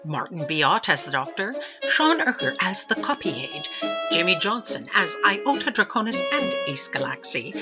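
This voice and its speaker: A woman's voice: fairly low pitch, sing-song, moderate pace, loud music behind the voice. A woman reading cast credits as a scripted announcement. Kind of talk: scripted narration.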